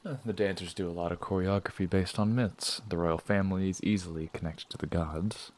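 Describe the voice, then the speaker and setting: A man voicing a sly traveling performer who teases and probes with smooth talk in an audio drama. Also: deep voice, steady tone, brisk, darkish tone, lightly acted. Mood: teasing, prying. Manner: teasing, probing, smooth-talking. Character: sly prying male traveling performer, teasing.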